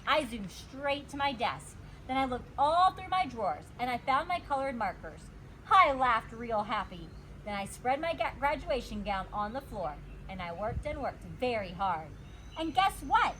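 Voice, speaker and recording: A woman reading a children's storybook aloud like a teacher, expressive and emphasizing her words. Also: a little high, measured pace, a little hiss or hum, low-quality recording. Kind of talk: animated storybook read-aloud.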